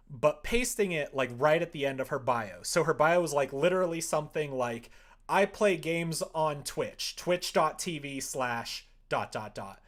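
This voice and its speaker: A man's voice: a little high, fairly bright tone. A man telling a rambling anecdote in casual livestream chat, acting out exchanges with an exasperated, storytelling manner. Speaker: live streamer.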